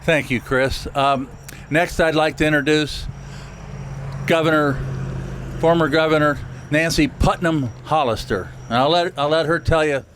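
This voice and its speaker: A man's voice: on the high side, a little slow, a bit bright, slight background noise. A man hosting an outdoor event, plainly introducing the next speaker. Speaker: event host. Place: outdoors at a rural barn.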